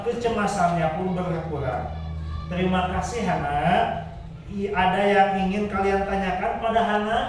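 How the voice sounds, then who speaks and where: A male teacher reading a children's story aloud to his class and stopping to quiz them. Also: sits high. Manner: checks the class with questions between story parts. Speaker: primary school teacher. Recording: echoey classroom, far from the mic.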